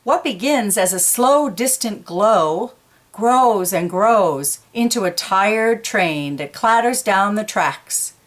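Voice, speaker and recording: Woman, medium pitch, picture book storytelling, conversational asides, addressing children. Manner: explains pictures in informal asides between read lines. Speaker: picture book read-aloud reader.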